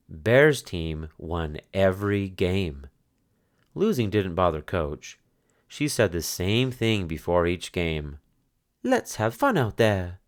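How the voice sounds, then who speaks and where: A man reading a children's picture book aloud as narrator, in expressive storytelling style. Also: low voice.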